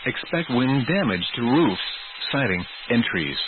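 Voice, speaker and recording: Over a thin, narrow radio channel with clear noise, a male announcer reads an emergency weather bulletin. His delivery is formal, measured and synthetic-sounding, in a mid-pitched voice. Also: very animated. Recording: tinny, noisy weather radio broadcast.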